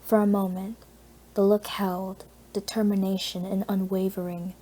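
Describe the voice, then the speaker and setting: Woman reading an audiobook, mellow mid voice, expressive storytelling. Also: mid-range voice, moderate pace. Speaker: audiobook narrator. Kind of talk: scripted fan fiction narration, expressive storytelling.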